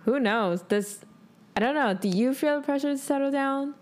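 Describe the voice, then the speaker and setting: A woman's voice: high voice, normal speed. A young adult woman co-hosting a podcast in casual chat, thinking aloud in informal speech peppered with "like". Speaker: podcast co-host.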